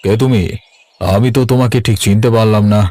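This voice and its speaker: Scheming cartoon grandfather, male actor, lowish pitch, sly and vengeful beneath a kindly front, music underneath. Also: steady tone, brisk, mellow, natural voice. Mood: coaxing, kindly. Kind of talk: cartoon story voice acting.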